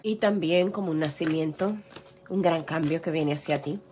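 Hoarse woman reading tarot cards. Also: low voice, normal speed, soft background music. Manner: gentle, clears throat often, many fillers. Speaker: tarot reader. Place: indoor room with a tarot table. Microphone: camera over table, hands seen.

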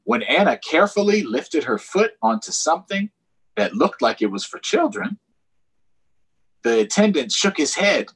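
Expressive American man reading to children. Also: sits high, moderate pace, slightly rough, poor audio. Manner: expressive, explaining to young children. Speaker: storytime host. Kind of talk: children's storytime read-aloud with chatty asides. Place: small room at home, indoors. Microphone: computer or tablet on a video call.

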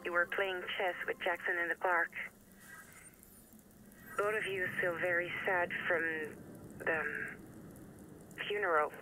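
An actor playing a grieving, remorseful hacker in a game, speaking in a halting, earnest confession. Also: male voice, high-pitched, fairly even intonation, bright, forceful tone, a little hiss or hum, exaggerated voice, rough recording. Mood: sorrowful, apologetic. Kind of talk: dramatic game cutscene monologue.

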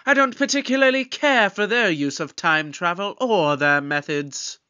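A man's voice: sits high, expressive, sharp tone, clear, resonant tone, dull audio. A man voicing an eccentric, breezy male time traveler in a fan audio drama, talking in a light, offhand way. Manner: light, offhand, drawn-out delivery.

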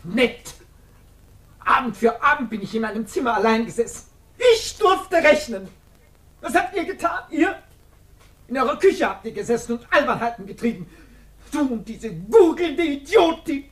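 Bitter tyrannical sister, middle-aged, fairly high voice, commanding, reproachful, scornful, vintage radio play. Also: female voice, very animated, moderate pace. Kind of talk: 1950s German radio drama acting.

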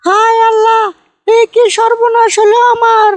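A woman's voice: extremely high pitch, monotone, a little slow. A woman voicing a callous, irritable cartoon village mother with a curt, scolding Bogura dialect from northern Bangladesh. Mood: alarmed. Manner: scolding, curt rural delivery. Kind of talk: amateur comedy cartoon dubbing.

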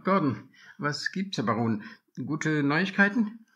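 A man's voice: fairly high pitch, lively intonation, slow pace, bright, forceful tone, full character voice. A synthetic male text-to-speech voice playing an obliging henchman who defers to his boss in a radio play. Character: eager male henchman taking orders.

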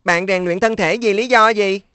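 A performer voicing a modest, hardworking schoolboy in a dubbed cartoon, speaking gently and reassuringly. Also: mid-range voice, moderate pace, clean tone, no echo, close to an everyday voice. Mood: modest calm. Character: modest diligent schoolboy, top of class. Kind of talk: cartoon dubbing.

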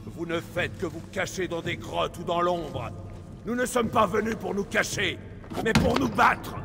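A proud Spartan war commander with a gravelly, fairly high male voice. He speaks bluntly and impatiently, a hot-headed soldier in a game drama, with loud music behind. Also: measured pace, big-room sound. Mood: angry, defiant. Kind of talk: video game voice acting in French.

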